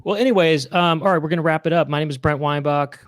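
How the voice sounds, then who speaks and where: A man's voice: on the high side, quick pace, no echo, clean studio sound. A man hosting a casual live online chat show, talking in a loose, rambling way with many fillers. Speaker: host.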